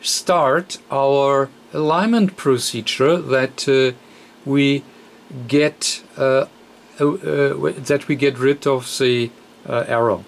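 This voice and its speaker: A man who speaks as a walks through a technical repair step by step. His voice sits at an average pitch and moves very slowly, with frequent hesitations and an unscripted, halting flow. A faint layer of noise sits behind him. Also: fairly even intonation, creaky. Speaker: radio repair technician demonstrating. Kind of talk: unscripted hands-on repair tutorial.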